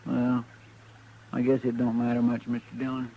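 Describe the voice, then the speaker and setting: An actor playing a folksy, deferential marshal's helper with a rural American accent and a hesitant drawl, in a western radio drama. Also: male voice, medium pitch, completely flat delivery, normal speed, lightly acted. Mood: puzzled, earnest. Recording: muffled old-time radio recording.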